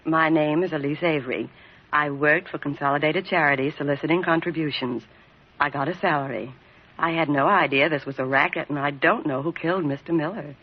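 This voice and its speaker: Husky coy femme fatale. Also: female voice, sits low. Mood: coy, cool. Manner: breathy pauses, coy drawn-out flirting. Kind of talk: old-time radio detective drama acting. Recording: muffled old radio recording.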